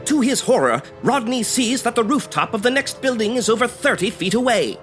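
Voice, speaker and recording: An actor playing a florid, melodramatic announcer narrating the action of a 1940s style radio serial. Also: male voice, extremely high pitch, lively intonation, a little fast, a bit bright, soft background music. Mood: excited, dramatic.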